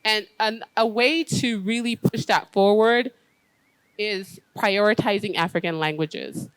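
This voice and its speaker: A woman with an American accent speaking earnestly as a panelist at an education conference. She talks in steady, thoughtful stretches with frequent "um" fillers. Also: mid-range voice, measured pace, clean tone, poor audio. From United States.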